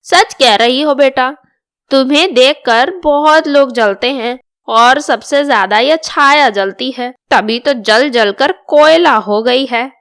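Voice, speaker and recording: A woman's voice: sits high, takes it slowly, fairly bright tone, clean tone, no room sound, clean studio sound, exaggerated voice. A woman voicing an older, bossy and easily offended mother-in-law in a storybook drama. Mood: spiteful. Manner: scolding, complaining.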